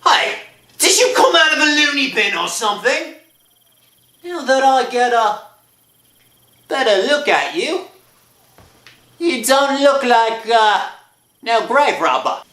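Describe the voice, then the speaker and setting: A man playing a gruff, suspicious cemetery caretaker who scolds in colloquial speech in a horror radio drama. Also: very high voice, lively intonation, slow pace, pauses often, sharp tone, roomy sound, recorded from a distance, exaggerated voice. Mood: suspicious, puzzled. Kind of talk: old-time horror radio drama acting.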